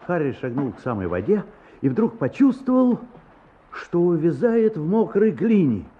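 A man's voice: on the high side, sing-song, moderate pace, husky. A man narrating a children's adventure tale in a scripted radio play. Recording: muffled narrow-band old radio recording.

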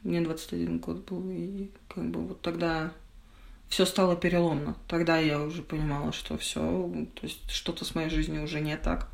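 Creaky-voiced young woman, reflective. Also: fairly low pitch, flat delivery, normal speed. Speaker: podcast guest sharing personal experience. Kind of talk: candid personal conversation.

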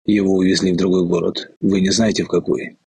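A man's voice: low-pitched, level tone, quick pace, vocal fry, dark tone, husky, no room sound, exaggerated voice. An actor playing a captured German colonel, a loyal adjutant, formal and deferential as he insistently pleads in a radio play. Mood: formal, earnest. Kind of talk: Russian radio play acting.

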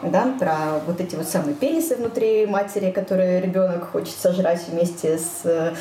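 A woman's voice: lowish voice, moderate pace, dark tone. A woman co-hosting a podcast in casual chat, informal and joking, with hesitant phrasing. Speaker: podcast co-host. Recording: roomy echo, faint background noise.

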